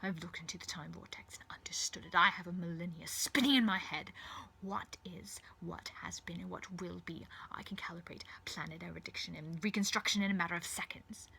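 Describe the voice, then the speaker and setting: A woman's voice: lowish voice, fairly quick, vocal fry, slightly rough, full character voice, rough recording. A woman voicing a menacing, mocking alien man who thinks himself a god, in a dramatised story reading. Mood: sinister, taunting. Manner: hissing whispers swinging into furious shouting.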